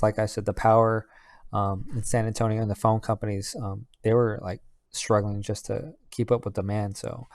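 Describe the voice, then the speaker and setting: A man's voice: on the low side, darkish tone, no room sound, clean studio sound. A man giving a casual personal vlog update in a rambling, conversational way. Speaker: vlogger; Air Force master sergeant in knowledge management.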